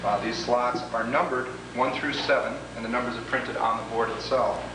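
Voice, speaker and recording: A man's voice: mid-range voice, level tone, normal speed. Man demonstrating equipment in noisy, echoey room. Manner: methodical, explanatory, formal phrasing. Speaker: product demonstrator and presenter. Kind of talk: instructional product demonstration, step by step. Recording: echoey room, clearly noisy old home video.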